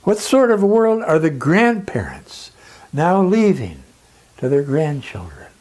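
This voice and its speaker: A speaker delivering a dramatic scripted monologue in a grave, emphatic, oratorical manner with deliberate pauses. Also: mid-range voice, big pitch swings, darkish tone.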